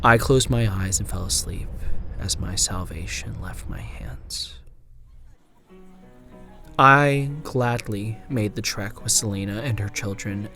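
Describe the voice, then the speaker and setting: A man performing a frontier gunslinger's first-person horror narration, in a hushed, deliberate delivery with drawn-out phrases and swelling emphasis. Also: on the low side. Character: woman frontier gunslinger recounting her haunted, lost memories. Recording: music bed under the narration. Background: music.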